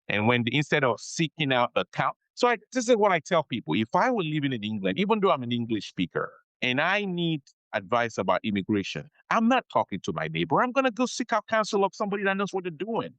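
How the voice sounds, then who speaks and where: A male attorney explains immigration matters on a podcast, speaking at a middling pitch. He repeats his main points and checks in with "okay?" as he goes. The sound is close and dry, with no room echo. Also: very animated, quick pace, hardly any pauses, a bit bright. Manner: explanatory, repeats key advice, frequent "okay?" check-ins. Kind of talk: conversational podcast interview.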